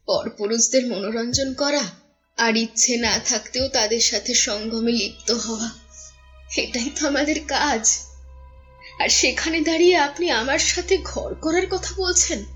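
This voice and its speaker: A humble young courtesan in a dramatised audio story, acted by a woman. Her voice is high, sorrowful and deferential, pleading earnestly over soft music.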